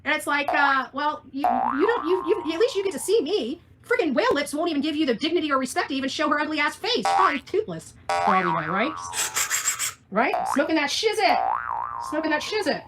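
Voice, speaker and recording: A high-voiced woman rants to her livestream viewers with combative sarcasm and crude language. Her pitch swings widely as she talks quickly, and loud music plays along with her. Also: big pitch swings. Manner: combative, sarcastic, foul-mouthed. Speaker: livestream host. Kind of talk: ranting livestream chat.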